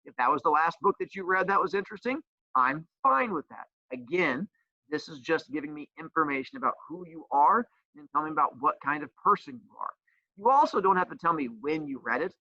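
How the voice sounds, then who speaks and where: A man's voice: fairly high pitch, animated, brisk, no echo, lo-fi sound. A man teacher who also coaches, explaining a homework assignment with playful jokes and teasing. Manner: says he has a loud voice.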